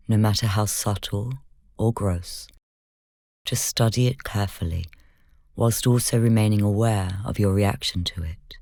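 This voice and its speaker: A meditation guide leading a guided session in slow, measured, gentle instruction, soft-spoken with whispery moments. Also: deep voice, no room sound, clean studio sound.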